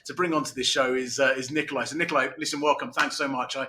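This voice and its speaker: Man, podcast host, fast pace, bright tone, mid pitch, casual interview chat. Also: steady tone, a little hiss or hum.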